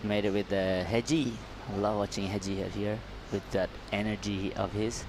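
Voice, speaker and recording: A man's voice: lowish voice, level tone, normal speed, noisy background. A man with an Indonesian accent co-commentating live surfing, playful and laughing, with loose, broken phrasing.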